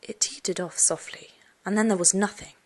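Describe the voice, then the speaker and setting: A woman reading a children's suspense story aloud, expressively, with dramatic hushed moments. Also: mid-range voice, steady tone, brisk, slight background noise. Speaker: audiobook narrator. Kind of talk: dramatic first-person storybook narration for children.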